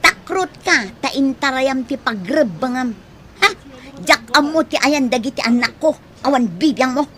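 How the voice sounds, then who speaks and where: A woman's voice: high voice, expressive, moderate pace. A woman with an Ilocano Filipino accent playing a guarded, defensive young mother in a radio drama.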